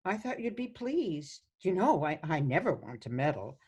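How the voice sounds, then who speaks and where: A woman's voice: low voice, big pitch swings, moderate pace, darkish tone, slightly rough, exaggerated voice. A woman playing a sweet, chatty, meddling elderly mother in a radio play, coaxing and cheerfully oblivious. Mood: breezily meddling. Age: older.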